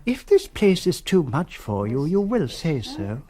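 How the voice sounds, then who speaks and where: A man's voice: a little high, sing-song, warm tone. An actor playing a kind, hesitant priest who halts and stammers as he voices his worry. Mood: hesitant, concerned. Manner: hesitant, halting, full of uh's. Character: kindly, hesitant older priest.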